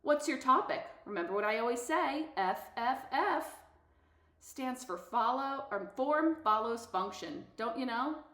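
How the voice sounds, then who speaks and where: A fussy, gushing schoolmarm of an English teacher acted by a woman from a storybook. She is chirpy and instructive, pitched up high, in an echoey room. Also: lively intonation, a little slow, a bit bright. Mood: encouraging, fussy. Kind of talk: character voice in a novel read-aloud.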